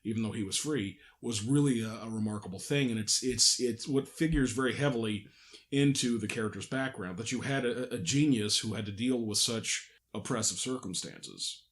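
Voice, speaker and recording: A man hosting a podcast in casual conversation, explaining things haltingly and often correcting himself. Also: fairly low pitch. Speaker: podcast host, audio drama producer. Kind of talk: casual behind-the-scenes podcast chat.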